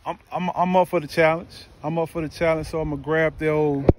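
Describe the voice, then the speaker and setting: A man's voice: on the high side, moderate pace, background noise. A middle-aged man casually talking through his archery shots outdoors on a wooded range, recorded on a phone camera a few feet away. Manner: relaxed, chatty, explains his shots. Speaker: father shooting archery. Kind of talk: casual outdoor vlog talk.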